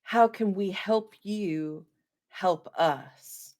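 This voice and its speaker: A woman's voice: medium pitch, extremely slow, a little mellow. A woman playing a tabletop role-playing game in a casual way, speaking tentatively and often in questions.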